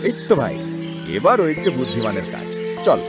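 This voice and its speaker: Lively, sensible village friend. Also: male voice, medium pitch, a little fast. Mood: approving. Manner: brotherly, admonishing village talk. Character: sensible, chiding, helpful male farmer friend. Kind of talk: animated moral story dialogue. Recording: loud music under the voice.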